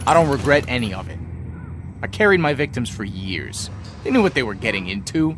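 Man playing a cynical con man, animated delivery. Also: medium pitch, brisk, noisy background, close to an everyday voice. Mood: bitter, defiant. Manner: dry, sardonic, profane. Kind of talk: video game dialogue acting.

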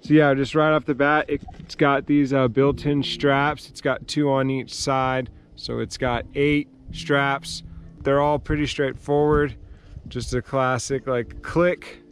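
A man in his thirties casually reviewing gear outdoors beside his van, relaxed and full of fillers. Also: medium pitch, a little slow. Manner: relaxed, filler-heavy, easygoing. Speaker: vlogger.

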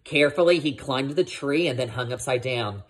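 A woman reading a children's picture book aloud like a teacher, expressive and addressing her young listeners. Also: a bass voice, normal speed, husky. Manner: expressive, asks listeners questions.

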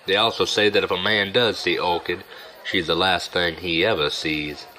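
An actor playing a sly, regretful gambler and old friend, wry and confiding, in an audio drama. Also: male voice, deep voice, steady tone, normal speed, pushed and bright, a little hiss or hum, poor audio. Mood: confiding, teasing. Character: sly, regretful gambler, smooth-talking old buddy, man.

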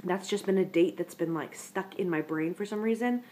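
A woman's voice: on the low side, normal speed, darkish tone, slight background noise. A young woman with a congested head-cold voice, chatting to her webcam in a casual vlog update from her living room couch. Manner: chatty, rambling, talks straight to viewers. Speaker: vlogger. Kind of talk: casual pregnancy update to camera.

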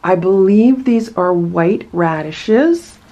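A woman's voice: medium pitch, animated, slow, warm tone, dull audio. A woman in casual vlog talk, chatty and enthusiastic as she unboxes a farm box at home. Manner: enthusiastic, chatty, many asides. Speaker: vlogger unboxing a produce box.

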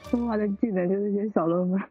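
A woman talks casually in a group chat as one of a production team. She gushes and repeats herself for emphasis. The sound is slightly dull, with soft music underneath. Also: medium pitch, steady tone, fairly quick. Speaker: audio drama production staff. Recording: muffled online voice chat, music behind. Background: background music.

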